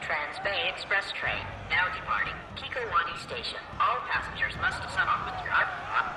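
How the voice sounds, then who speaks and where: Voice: medium pitch, very animated, moderate pace. A performer voicing a malfunctioning station announcement machine over a public address speaker, stilted and officious. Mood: officious, glitchy. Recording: echoey distant station loudspeaker, loud noise.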